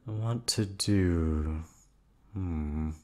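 A man voicing a calm, unhinged yandere receptionist who has kidnapped the listener, in an ASMR audio drama. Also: deep voice, drawn-out words, slight background noise. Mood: menacing. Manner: soft, coolly reasoning, menacing. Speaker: voice actor.

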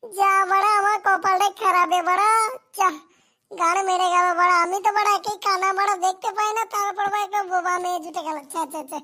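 A performer voicing a hapless, foul-mouthed young cartoon groom in coarse slang, squeaky and childlike. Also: fairly even intonation, quick pace, pushed and bright, heavily acted. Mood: self-pitying. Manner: coarse, grumbling, rural slang. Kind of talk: amateur comedy cartoon voice acting.

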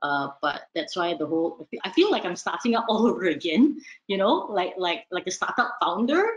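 Woman, low voice, thoughtful, soft laughs, dull lo-fi call audio. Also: animated, moderate pace. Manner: thoughtful, laughs softly between phrases. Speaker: café owner guest. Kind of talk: video call interview.

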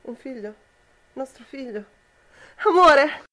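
A woman's voice: high-pitched, expressive, slow, a bit bright, slight background noise, full character voice, poor audio. A young woman playing a loving, incredulous wife in an amateur radio drama. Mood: shocked. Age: young adult.

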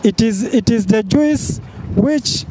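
A young motorcycle taxi rider answering street questions, halting and repeating himself. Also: male voice, high voice, mellow, low-quality recording. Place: roadside outdoors. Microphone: handheld mic held by host. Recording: street noise behind.

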